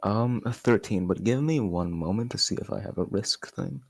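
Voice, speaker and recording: Low raspy guy, creaky voice, chatting as a tabletop player. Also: normal speed, darkish tone, no room sound, studio quality. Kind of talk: casual game chat.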